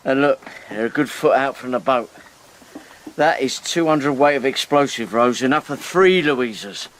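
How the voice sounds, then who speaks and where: An actor playing a blunt, working-class English boat mechanic in a radio drama, talking in casual slang. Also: male voice, mid-range voice, moderate pace, a bit bright, slight background noise, lightly acted, rough recording. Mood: tender. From England.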